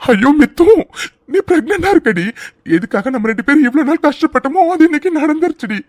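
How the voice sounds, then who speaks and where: Woman acting a high-voiced, gushing young husband. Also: animated, fast talker, darkish tone, dry recording, clean studio sound. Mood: tearful joy. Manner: breathless, stammering, gushing. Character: excitable, doting young husband, overjoyed father-to-be. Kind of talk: emotional serial-style audio drama acting.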